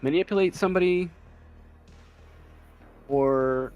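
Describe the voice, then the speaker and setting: A man running a tabletop game, narrating off the cuff and thinking aloud in a halting way. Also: a little high, clear, resonant tone, dull audio. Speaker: game master. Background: faint music.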